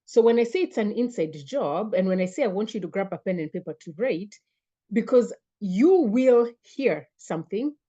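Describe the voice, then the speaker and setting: A woman hosting a live webinar, warmly greeting viewers by name and repeating her phrases. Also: medium pitch, dull audio. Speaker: webinar host and fitness coach. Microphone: computer mic on a video call.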